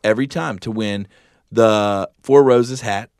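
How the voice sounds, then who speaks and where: A man's voice: fairly low pitch, measured pace, darkish tone, dry recording, studio quality. A man chatting casually as a podcast co-host, telling stories in an easygoing way. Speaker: filmmaker.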